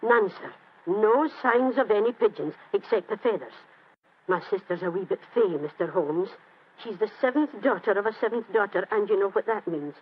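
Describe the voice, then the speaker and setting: A woman's voice: medium pitch, animated, normal speed, slightly rough. An actress playing a chatty, deferential old British landlady, apologetic and a little flustered, in a 1940s radio drama. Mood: earnest. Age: older. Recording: muffled old-time radio broadcast.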